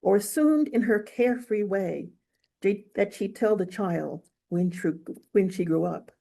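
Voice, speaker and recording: A woman reading literary fiction aloud in a measured, expressive storytelling manner. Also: medium pitch, vocal fry. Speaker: author reading from own novel. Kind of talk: audiobook-style literary reading.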